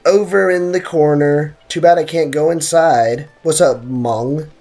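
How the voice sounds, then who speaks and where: A man's voice: on the high side, measured pace, a little mellow. A young American man chatting casually and slangily as he streams a game, reading chat and answering it. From United States. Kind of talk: casual live gaming chat. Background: game music.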